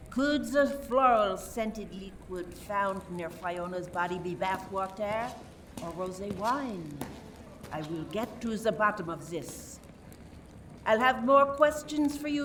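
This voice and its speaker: An actress playing a suspicious detective with a deliberate, theatrical, probing manner in a radio play. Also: female voice, medium pitch. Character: suspicious French detective methodically questioning suspects. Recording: distant microphone, faint background noise.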